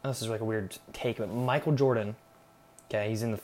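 A man's voice: fairly low pitch, steady tone, fairly quick, darkish tone. A young man hosting a solo podcast, rambling casually and irreverently with lots of slang, sarcasm and swearing. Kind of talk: casual unscripted rambling monologue.

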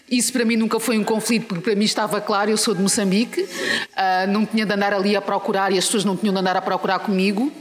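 A woman born in Mozambique and raised in Portugal, with a European Portuguese accent, speaking as an interview guest in a measured, thoughtful way with frequent hesitations. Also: mid-range voice. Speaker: guest, founder of a professional network. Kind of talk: casual interview conversation. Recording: recording with clear background noise.

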